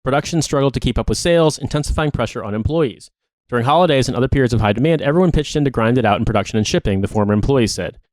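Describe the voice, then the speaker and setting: A man's voice: medium pitch, animated, very fast, a little mellow, no room sound, studio quality. A man co-hosting a podcast, reading a long article aloud. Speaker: podcast co-host.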